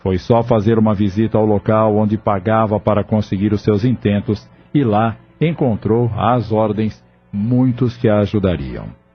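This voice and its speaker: Male narrator, deep-leaning voice, steady solemn storytelling, lo-fi sound. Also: normal speed, hardly any pauses, slightly rough, faint music behind. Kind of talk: scripted audiobook narration.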